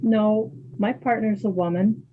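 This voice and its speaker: A woman playing a calm, kind, sporty Canadian woman in a readers theater dialogue. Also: medium pitch, unhurried, slight background noise, natural voice. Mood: friendly, matter-of-fact. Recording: thin phone-like sound.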